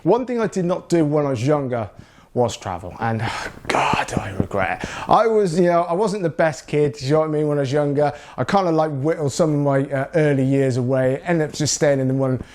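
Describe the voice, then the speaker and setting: A man's voice: a little high, expressive, brisk, darkish tone. A man from South England, talking casually to camera as a YouTuber, rambling along with lots of fillers. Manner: rambling, with many fillers like "you know" and "that lot". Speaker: YouTuber and videographer.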